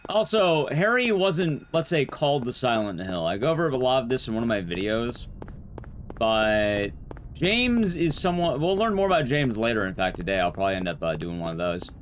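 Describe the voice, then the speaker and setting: A man's voice: medium pitch, animated, moderate pace, slight background noise. A man streaming a game, giving casual, rambling commentary to his chat with playful asides. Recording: loud music under the voice. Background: game and stream music.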